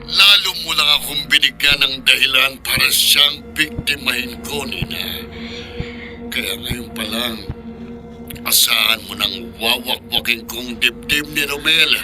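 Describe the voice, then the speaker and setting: A man's voice: medium pitch, unhurried, over music, lightly acted. A Filipino man playing a stern, threatening middle-aged father who is a monster in a horror radio drama. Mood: menacing, accusing. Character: menacing, overbearing father who turns into a manananggal monster.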